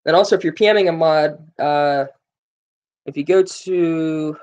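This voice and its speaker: A man's voice: a little high, a little slow, darkish tone, clear, resonant tone, lo-fi sound. A man hosting a casual gaming live stream, rambling with plenty of fillers and joking with his viewers. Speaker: gaming livestream host.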